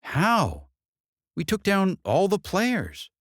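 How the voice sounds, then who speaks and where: Novel heroine read by a man: sardonic, guarded woman, slightly raised pitch, animated inflection, dry sound. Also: slow pace, no room sound, exaggerated voice. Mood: alarmed disbelief. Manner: sardonic, plain-spoken, guarded. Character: wry, defiant woman under threat.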